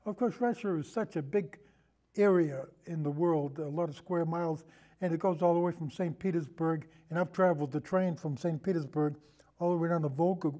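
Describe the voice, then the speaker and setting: A man in a casual film discussion, telling anecdotes in a halting, rambling way and often repeating his phrases. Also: fairly high pitch, dark tone. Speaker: podcast guest, film discussion.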